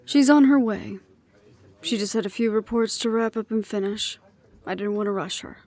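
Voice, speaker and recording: A woman playing a composed, dutiful middle-aged leader, speaking in a measured, reflective way in an audio roleplay. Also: medium pitch, natural voice. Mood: polite, guarded. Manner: measured, reflective, quietly firm.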